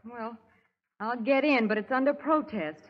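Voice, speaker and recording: A woman's voice: on the high side, measured pace. An actress playing a plucky but jittery young female companion in a 1930s radio drama, chatty, wry and apprehensive, on a muffled old recording. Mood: apprehensive, protesting.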